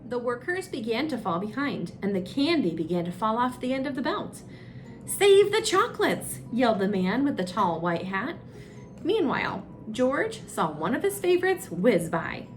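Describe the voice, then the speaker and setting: A woman narrates a picture book for children in a fairly high, very animated voice, with music behind her. She draws out suspenseful phrases and reads with plenty of expression. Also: moderate pace. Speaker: children's story time reader. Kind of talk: read-aloud children's storybook narration.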